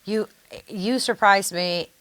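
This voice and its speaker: A woman hosting a music interview, telling stories in a chatty, animated way. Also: mid-range voice, takes it slowly, dry recording. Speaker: podcast host and singer. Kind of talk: casual interview conversation.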